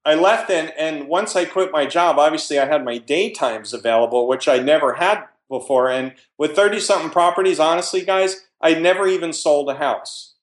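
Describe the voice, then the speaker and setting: A man's voice: a little high, moderate pace, few pauses, roomy sound. A man, a real estate investor, talking casually in an interview and telling stories with frequent restarts. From New Jersey.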